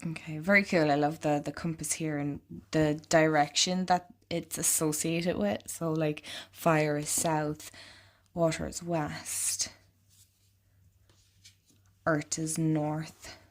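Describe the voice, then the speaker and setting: A woman vlogger walking through an oracle card deck in casual, rambling chat, her voice slightly croaky and congested. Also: low voice, little pitch movement, takes it slowly. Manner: chatty and rambling, lots of "like".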